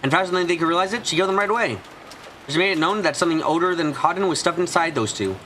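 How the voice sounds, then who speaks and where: A man's voice: a little high, expressive, very fast, a crowd in the background. A man streaming a visual novel, reading the narration aloud and sometimes stumbling over words.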